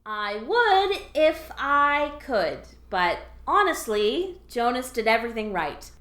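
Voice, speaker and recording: A woman's voice: fairly high pitch, very animated, slow pace, a bit bright, reverberant, exaggerated voice. A woman playing a bossy, slick alien office clerk in a scripted audio comedy, sarcastic and falsely breezy with superiors.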